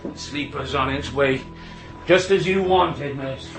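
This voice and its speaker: A man's voice: medium pitch, a little slow, reverberant, loud music behind the voice, close to an everyday voice. An actor playing a middle-aged signalman and father, stern, brusque and harried, in a naturalistic radio drama. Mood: businesslike, terse. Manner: brusque, exasperated.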